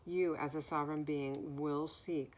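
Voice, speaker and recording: A woman giving a spiritual message in a gentle, soothing way, with a naturally deep voice that is somewhat hoarse. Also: fairly even intonation, unhurried. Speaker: spiritual card reader. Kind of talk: spiritual message, slow and reflective.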